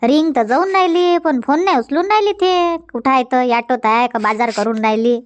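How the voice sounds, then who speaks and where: A woman's voice: high-pitched, expressive, normal speed. A woman voicing a chatty, bossy but good-natured village housewife in a dubbed comedy cartoon, speaking colloquial, repetitive Marathi with a rural Vidarbha accent.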